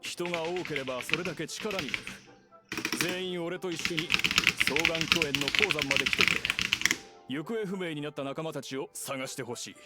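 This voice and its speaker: A man voices a commanding foreman in an anime game. He speaks fast and urgently in a fairly high male voice over loud music. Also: natural voice. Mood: urgent, resolute. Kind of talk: Japanese anime game acting.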